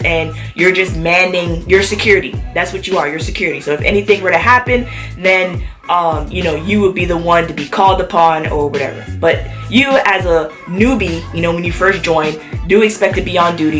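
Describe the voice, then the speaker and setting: Sick woman chatting to her camera, lots of ums, kinda low voice, faint music. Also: a bit bright. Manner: chatty, many fillers. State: sick with a cough. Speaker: vlogger. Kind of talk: casual chat to camera.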